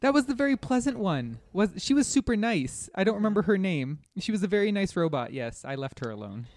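A woman chats as a guest on a casual podcast, her mid-pitched voice moving up and down very expressively. She sounds informal and agreeable, recorded dry with no room sound. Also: big pitch swings, brisk, a little mellow, no room sound. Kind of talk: casual game discussion.

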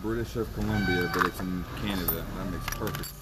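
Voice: low voice, fast talker, over loud music. Someone chatting casually with friends in a blunt, profane, needling way, on a very noisy, hissy recording. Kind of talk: casual chat among friends.

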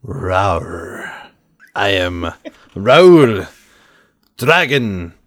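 A lovelorn young nobleman in a dragon costume, played by a man at a game table. He speaks in florid, courtly style with low pitch and sweeping intonation, recorded close and dry. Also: very animated, very slow, dry recording, full character voice. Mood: proud. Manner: grandiose, mock-courtly, florid phrasing.

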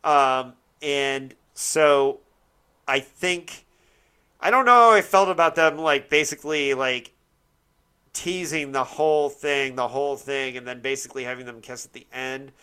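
Bright, clear-voiced man chatting casually. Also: mid-range voice, measured pace. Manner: rambling, self-correcting, searching for words. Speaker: podcast host. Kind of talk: casual chat, TV show discussion.

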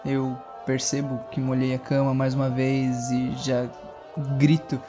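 Player narrating their kid waking up, quick and jokey, music in the back. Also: mid-range voice, completely flat delivery, dull audio. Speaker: tabletop player. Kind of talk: first-person roleplay narration.

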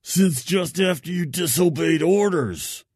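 A man voicing a hostile space mercenary team leader in an audio story, rough and aggressively commanding. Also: on the high side, unhurried, a little mellow, no echo, clean studio sound, pitched above the performer's own voice. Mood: barking, hostile.

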